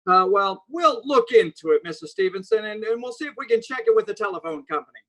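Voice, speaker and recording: A man's voice: high voice, lively intonation, quick pace, a bit bright. A man playing a weary, dismissive police sergeant. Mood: placating. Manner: hesitant, placating, then brusquely patronizing. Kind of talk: 1940s radio drama acting.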